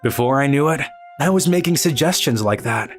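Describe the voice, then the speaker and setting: A woman's voice: rumbling low, animated, a little fast. A woman voicing a cool-headed, easily exasperated teenage otaku boy who narrates his own story in a manga video. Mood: dry, weary. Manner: dry, exasperated. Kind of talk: scripted first-person anime narration and dialogue. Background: background music.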